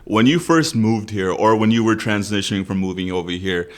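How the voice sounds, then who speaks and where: Man, podcast co-host, low voice, joking, teasing interjections. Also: fairly quick, slight background noise. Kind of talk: casual interview chat.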